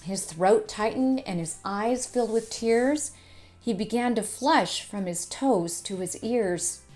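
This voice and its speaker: A woman's voice: fairly high pitch, slow, darkish tone, soft background music. A woman narrating a children's storybook as a storytime host, in a scripted read-aloud style.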